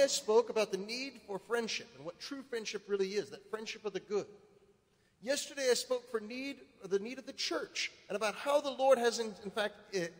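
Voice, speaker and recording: A male priest preaching a sermon, building to emphatic, rising points. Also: high-pitched. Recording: reverberant church hall.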